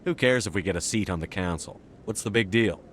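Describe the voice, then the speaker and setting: An actor playing a resolute human military commander in a video game, with a terse, direct way of talking. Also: male voice, deep voice, fairly quick, a bit bright, slight background noise. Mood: blunt.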